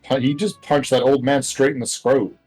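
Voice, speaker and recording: A man's voice: mid-range voice, level tone, normal speed, rough recording. A man casually reacting and bantering as he comments on a video game with friends. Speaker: gaming commentator. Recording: game music under the voice. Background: video game music.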